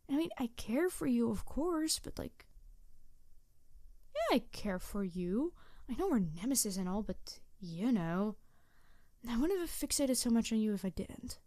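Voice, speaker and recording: Snarky female supervillain for ASMR roleplay woman performer, highish voice, flustered, halting, tender underneath, dry close sound. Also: dark tone, no room sound. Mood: conflicted, flustered, then passionate. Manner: halting, sighing, emotionally candid, sardonic. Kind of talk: ASMR audio roleplay monologue.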